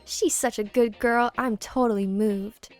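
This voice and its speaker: Woman voicing a brash, cocky teenage boy, high-pitched. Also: fairly even intonation, measured pace, darkish tone, soft background music, close to an everyday voice. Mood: earnest. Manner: brash, slangy, emphatic. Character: teenage boy, cocky, teasing, loyal best friend.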